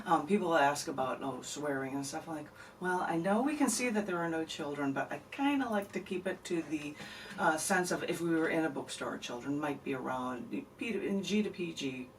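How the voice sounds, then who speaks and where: A woman's voice: low-pitched, lively intonation, normal speed. A chatty woman poet talks through a radio interview in loose, rambling sentences with frequent laughs. She sits a bit back from the studio mic, and a faint hiss runs underneath. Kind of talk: casual radio interview chat. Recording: distant mic, faint noise.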